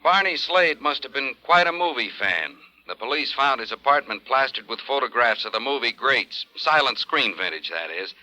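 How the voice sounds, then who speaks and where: A brisk insurance company man laying out facts in a businesslike way. His voice is very bright and sharp-edged throughout. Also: medium pitch, expressive, low-quality recording. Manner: businesslike, matter-of-fact. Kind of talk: 1950s radio drama acting.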